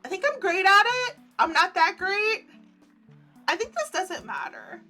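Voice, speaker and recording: A woman streamer reading a visual novel aloud in an animated let's-play style, breaking into giddy, giggly reactions and commentary. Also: very high voice, unhurried, faint music behind.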